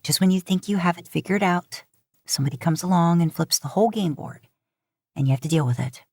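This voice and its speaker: A woman voicing a steady, caring, middle-aged motherly character in a story. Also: on the low side, brisk, husky, no echo, studio quality. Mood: frank, weary.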